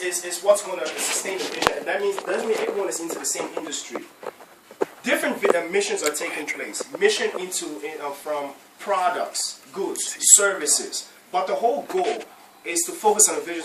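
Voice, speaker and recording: A man answering as a radio panelist, earnest, and often repeating a word before he gets going. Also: high-pitched. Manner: repeats words when starting, earnest. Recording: echoey room, far from mic, noisy.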